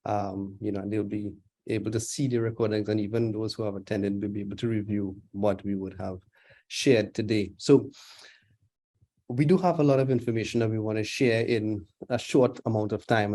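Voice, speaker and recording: A man's voice: lowish voice, mellow. A man with a Trinidadian accent giving a conversational talk as a guest speaker in an online session, with frequent fillers. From Trinidad and Tobago. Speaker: guest speaker, digital anthropologist. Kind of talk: webinar presentation. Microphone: video call.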